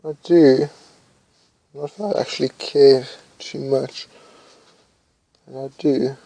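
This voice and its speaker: Slow, gravelly young man with a flat voice. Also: medium pitch, no echo, poor audio. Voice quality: says his voice is loud. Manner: slow, flat, mumbling delivery, thinking aloud with hesitations. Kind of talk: casual commentary while reading test questions aloud.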